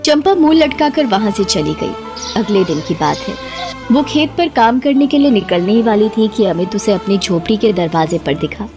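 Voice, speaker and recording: Female narrator, mid pitch, very lively intonation, dramatic scripted storytelling with music behind. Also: moderate pace.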